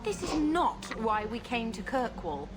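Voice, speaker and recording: A woman's voice: on the high side, sing-song, unhurried, husky, full character voice. A woman playing an exasperated, prim young companion in a fantasy video game. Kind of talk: video game dialogue acting.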